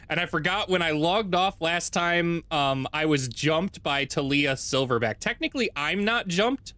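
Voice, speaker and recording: A man with a deep voice streaming a strategy game from home, chatting casually, thinking aloud and correcting himself as he plays. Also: lively intonation, unhurried, sharp tone, dry recording. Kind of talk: casual gameplay livestream chat. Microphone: desk mic with noise gate.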